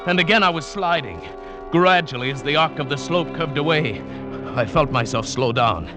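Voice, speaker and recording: An actor playing an earnest, superstitious South American mountain guide telling his perilous adventure in a measured, dramatic 1940s radio style. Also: fairly high pitch, lively intonation, lightly acted. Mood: tense, urgent. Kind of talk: 1940s-50s radio drama acting and narration. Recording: vintage radio recording with music.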